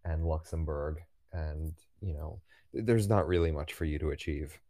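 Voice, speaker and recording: Very deep-voiced wry man. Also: steady tone, normal speed, mellow, no echo. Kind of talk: casual sports podcast chat.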